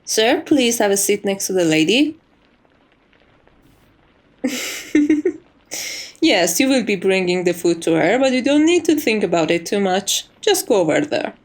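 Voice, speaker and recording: A woman playing a polite, devoted maid to a vampire mistress in an ASMR roleplay, formal and composed with quiet menace. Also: medium pitch, sing-song, a little slow, long pauses. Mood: courteous, composed.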